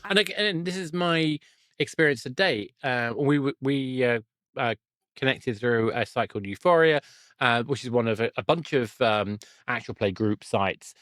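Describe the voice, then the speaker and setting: Male podcast interviewer, mid-range pitch, conversational, halting and wordy, dry recording. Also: fairly bright tone, no echo. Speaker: podcast host.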